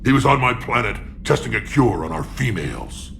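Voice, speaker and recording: A man voicing a proud, battle-hardened alien clan chief, gruff, blunt and gravelly, in a video game. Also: mid-range voice, sing-song, a little fast, fairly bright tone. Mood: accusing. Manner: blunt, combative, growling. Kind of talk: cinematic video game acting.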